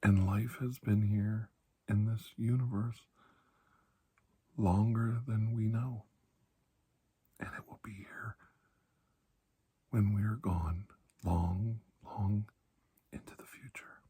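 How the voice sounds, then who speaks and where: A man acting as a calm doctor in an ASMR roleplay, with a very breathy, husky voice that sits low. He soothes his patient in slow, halting phrases, often dropping close to a whisper. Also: heavily acted. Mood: solemn, hushed. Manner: measured, halting, soothing delivery. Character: calm, reassuring male doctor treating a patient. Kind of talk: ASMR roleplay, hushed storytelling.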